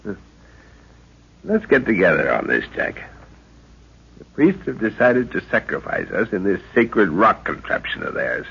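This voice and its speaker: An actor playing a thoughtful middle-aged professor who leads an expedition, speaking in a measured, hesitant way in a 1930s radio drama. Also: male voice, on the low side, huge pitch swings, creaky, breathy edge, heavily acted. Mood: calm, resolute. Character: scholarly middle-aged male professor, calm leader. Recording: dull lo-fi old radio recording.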